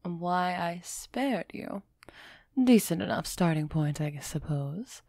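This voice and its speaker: A woman playing an aloof, aristocratic vampire who is softening toward a human, in a measured, musing audio roleplay performance. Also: fairly low pitch, expressive, gravelly, no echo, studio quality, heavily acted. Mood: aloof explaining. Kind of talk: scripted audio roleplay acting, close and soft.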